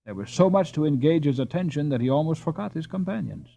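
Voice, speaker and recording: A man reading a children's story aloud as an audiobook narrator, with an expressive and deliberate storytelling delivery. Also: a little high, creaky. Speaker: audiobook reader.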